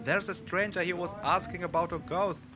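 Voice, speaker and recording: A man voicing a brisk, excitable, theatrical innkeeper in a video game. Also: high-pitched, over music, natural voice. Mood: excited. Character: excitable, brusque male haunted-inn keeper. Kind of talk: video game character dialogue.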